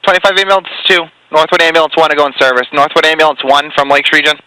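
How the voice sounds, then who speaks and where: Fast, bright-voiced male radio dispatcher. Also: on the high side, fairly even intonation, poor audio. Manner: clipped, procedural. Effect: two-way radio. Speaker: emergency fire dispatcher. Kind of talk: radio dispatch, repeating calls in clipped procedural phrases. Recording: compressed radio dispatch audio with hiss.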